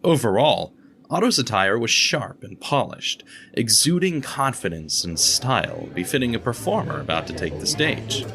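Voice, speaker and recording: A man narrating an audio drama with expressive, steady storytelling. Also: mid-range voice, moderate pace, a crowd in the background. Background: guitar music.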